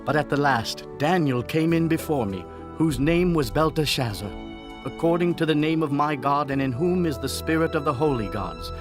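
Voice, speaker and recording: Guy doing a proud old-world king, kinda high voice, big declaring delivery, music playing under it. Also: expressive, normal speed, natural voice. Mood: solemn recounting. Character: proud ancient king, commanding and awestruck. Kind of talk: dramatized scripture reading. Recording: clear music bed behind.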